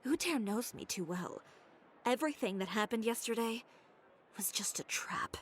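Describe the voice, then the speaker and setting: A woman voicing a proud young fashion designer in a video game, cool, measured and self-assured. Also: a little high, lively intonation, slight background noise, lightly acted.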